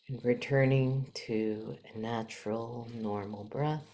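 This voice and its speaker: A woman leading a guided meditation in a soft, gentle manner, with long pauses and some breathiness. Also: extremely low pitch, steady tone.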